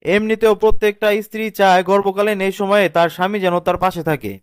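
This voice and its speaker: A protective, principled young cartoon husband acted by a man, with a high, smooth voice. He talks fast and earnestly in colloquial Bangladeshi speech, explaining and lecturing. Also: steady tone, dry recording, studio quality, close to an everyday voice. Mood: earnest, pleading. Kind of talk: cartoon drama dialogue.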